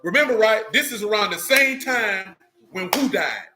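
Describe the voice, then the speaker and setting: A man's voice: high voice, animated, moderate pace, sharp tone. A man with speech teaching a religious group informally, emphatic and streetwise, often checking in with his listeners.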